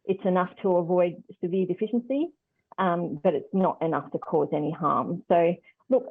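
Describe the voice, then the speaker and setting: Low-voiced Australian woman on a phone-like line. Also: moderate pace, breathy edge, no room sound. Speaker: health expert guest on radio phone-in. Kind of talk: conversational expert explanation. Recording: muffled phone-like line on radio.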